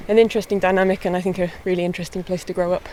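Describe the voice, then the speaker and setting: A young woman, a harpist, chatting in a relaxed way with light laughs while out walking on a hillside. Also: on the low side, very fast, poor audio. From born in England, raised in mid Wales. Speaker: harpist and guest. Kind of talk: casual walking interview. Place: outdoors on a hillside walk. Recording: faint outdoor noise.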